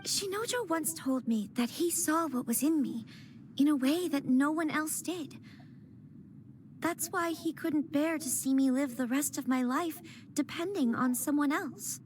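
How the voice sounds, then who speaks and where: A woman's voice: high-pitched, a little slow, darkish tone, a little hiss or hum. An earnest young noblewoman. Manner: formal and earnest. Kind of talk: video game voice acting.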